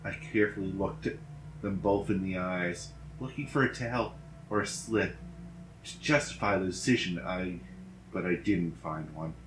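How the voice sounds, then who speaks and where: A man narrating a dramatic horror story in a deliberate, grave manner. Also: deep voice, over music. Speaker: story reader. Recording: roomy echo, background noise.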